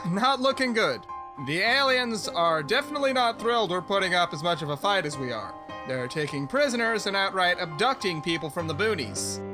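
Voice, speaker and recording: A man playing a cocky, plain-spoken soldier in a sci-fi audio drama, casual and wry. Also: sits high.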